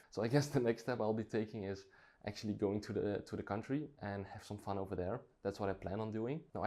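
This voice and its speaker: A man's voice: lowish voice, warm tone. A Dutch-native man talking casually to camera, reflective and thinking aloud with frequent restarts. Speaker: vlogger.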